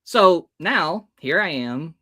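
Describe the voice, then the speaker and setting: A man's voice: on the high side, animated, takes it slowly, bright, forceful tone. An American man in his early thirties telling personal stories as a podcast guest, expressively acting out bits of dialogue as he goes. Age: early 30s. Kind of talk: animated personal storytelling.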